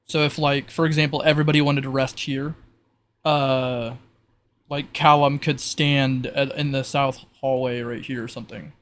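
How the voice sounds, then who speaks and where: A man running an online tabletop roleplay game as game master, halting as he thinks aloud. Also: medium pitch, little pitch movement.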